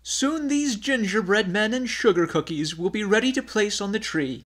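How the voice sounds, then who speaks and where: A man's voice: high-pitched, expressive, normal speed, fairly bright tone, voice pushed up. A man playing busy, fussy household grown-ups getting ready for Christmas in a storybook. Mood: fussy excitement.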